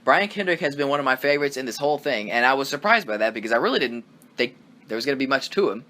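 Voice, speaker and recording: A man co-hosting a casual wrestling review podcast, talking with excitement, rambling and swearing freely. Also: medium pitch, racing. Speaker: podcast co-host.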